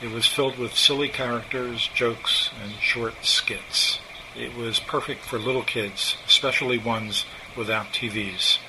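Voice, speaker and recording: A man's voice: medium pitch, unhurried. A man in his seventies with an American accent, reading a scripted personal narration. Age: 70s. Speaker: narrator, old-time radio enthusiast. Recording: steady hum of room noise.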